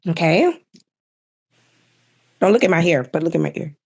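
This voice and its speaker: A woman's voice: lowish voice, expressive, moderate pace, vocal fry, darkish tone, husky. A woman chatting casually as a podcast panelist, colloquial and rambling. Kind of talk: casual chat.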